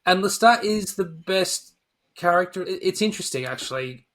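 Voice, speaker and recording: A man's voice: sits high, expressive, normal speed, a bit bright. A middle-aged man in casual, opinionated conversation as a podcast co-host, informal and dryly humorous. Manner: opinionated, informal, dry humour. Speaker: podcast co-host reviewing films. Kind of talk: casual film chat.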